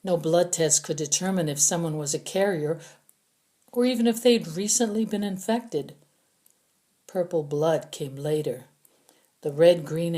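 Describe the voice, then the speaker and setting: A woman's voice: deep voice, mellow. A woman reading a young adult novel as a first-person audiobook narrator.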